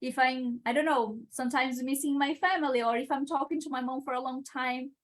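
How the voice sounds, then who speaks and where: A young woman in her twenties with a Brazilian Portuguese accent, answering questions on a video call and pausing often to find her words. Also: high-pitched, fairly even intonation. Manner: hesitant, searching for words with many uh's and restarts. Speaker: guest, international engineering student. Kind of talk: live-stream Q&A answers.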